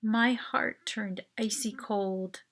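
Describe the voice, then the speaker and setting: A woman reading a first-person short story as its author, in an expressive, dramatic narration style. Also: medium pitch, takes it slowly.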